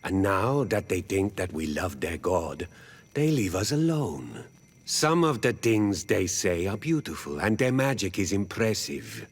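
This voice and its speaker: Game role: stoic male native elder statesman, low rough voice, unhurried, grave, weary. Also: very animated, full character voice. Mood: resigned, grave. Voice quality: husky. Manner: grave, deliberate, halting. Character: weary, stoic native male village chief, resigned and wary. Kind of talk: video game dialogue acting.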